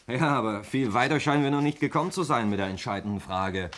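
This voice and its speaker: An actor playing a glib, needling heir under suspicion, breezy and ironic, in a German 1960s radio play. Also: male voice, medium pitch, quick pace, a bit bright, close to an everyday voice. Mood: polite, needling.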